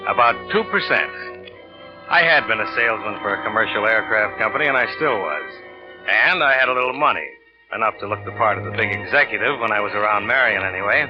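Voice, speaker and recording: An actor playing a cynical, smooth-lying American salesman and narrator in a 1940s radio thriller, cool and offhand in manner. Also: fairly low pitch, expressive, fast talker, natural voice. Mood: cynical, calculating. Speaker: lead actor and first-person narrator. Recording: dull vintage radio recording with music.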